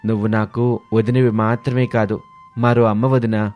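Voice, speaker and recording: A frank young brother-in-law acted by a man speaks with emotion in a lowish voice. Music plays under this family story drama. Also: flat delivery, moderate pace, darkish tone, lightly acted. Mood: remorseful.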